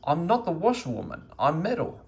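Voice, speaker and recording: A man's voice: fairly high pitch, huge pitch swings, brisk, gravelly, pitched above the performer's own voice, exaggerated voice. A man voicing a well-meaning, bumbling and easily flustered fellow in a children's storybook. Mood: indignant. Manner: fussy, eager to help. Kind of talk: children's storybook read-aloud.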